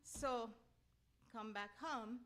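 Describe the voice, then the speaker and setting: Woman, Venezuelan Spanish accent, middle-aged or older, very bright forceful voice, animated storyteller, inspirational talk. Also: a little high, extremely slow. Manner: animated storytelling, often acting out her own reactions; laughs readily while talking. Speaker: guest speaker, reverend. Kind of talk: personal inspirational talk to a congregation.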